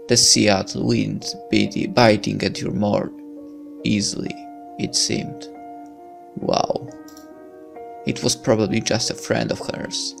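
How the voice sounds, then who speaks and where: A man's voice: lowish voice, creaky. A man reading a visual novel aloud as narration, breaking into casual commentary as a let's player.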